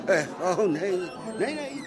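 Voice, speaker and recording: An actor playing a hapless, pushy, tipsy barfly who pleads and whines in a radio drama. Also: male voice, high voice, sing-song, slow pace, music in the background, a crowd in the background, heavily acted. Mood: pleading.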